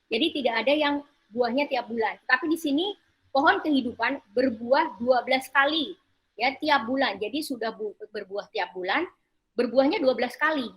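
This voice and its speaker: A woman pastor preaching a sermon, haltingly repeating and rephrasing her phrases as she explains scripture. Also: fairly high pitch, a bit bright, low-quality recording. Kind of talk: sermon with slides and scripture reading.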